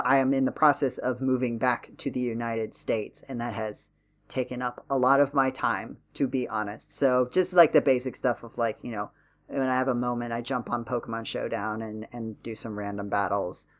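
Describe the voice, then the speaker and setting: A man's voice: medium pitch, moderate pace, fairly bright tone. Wry, rambling man chatting about games. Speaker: podcast host. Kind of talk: casual video game chat.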